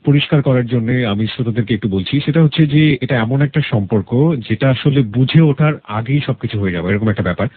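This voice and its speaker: A man's voice: mid-range voice, a little fast, husky, no room sound, phone-quality sound. A man with a Bangladeshi accent hosting a radio interview, asking probing questions and explaining points for listeners. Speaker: radio show host. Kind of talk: probing life-story interview, summarizing for listeners.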